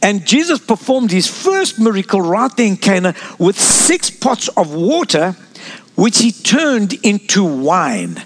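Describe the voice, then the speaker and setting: A man born in South Africa preaching a sermon as pastor, sprinkling amens and asides to the congregation. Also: high voice.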